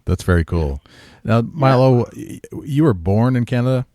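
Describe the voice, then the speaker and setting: A man's voice: mid-range voice, expressive, normal speed, mellow, no room sound, studio quality. A man hosting a podcast in a chatty, eager way, agreeing often with his guest. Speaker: podcast host. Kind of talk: casual podcast interview.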